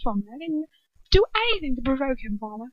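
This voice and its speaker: A young male wizard played by a woman reading aloud, high and indignant, whining like a wronged son in a storybook. The recording is dull and close, with little room sound. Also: sing-song, fast talker, no room sound. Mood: furious, aggrieved.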